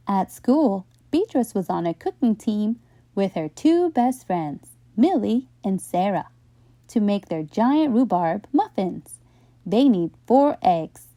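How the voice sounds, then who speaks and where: A woman reading a children's bedtime story aloud in an expressive narrating style. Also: mid-range voice, no room sound, clean studio sound.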